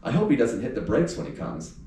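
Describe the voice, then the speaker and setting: A man's voice: mid-range voice, sing-song, fairly quick, dark tone. A man voicing a smiling, chirpy murderous wife in a thriller read aloud, casual about killing. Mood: calmly eager. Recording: roomy, echoing live reading, distant mic, faint noise.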